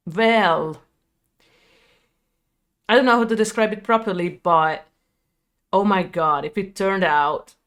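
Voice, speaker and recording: Video creator, female, thirties, Hungarian accent. Unhurried, smooth delivery for reflective personal storytelling. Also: fairly low pitch. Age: 30s.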